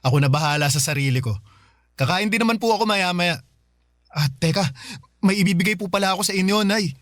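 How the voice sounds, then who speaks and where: A man's voice: high voice, brisk, a bit bright, no room sound, clean studio sound. An actor with a Filipino accent playing a hardworking, affectionate son in his thirties in a radio drama, speaking respectfully and casually. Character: hardworking, dutiful, affectionate 35-year-old son.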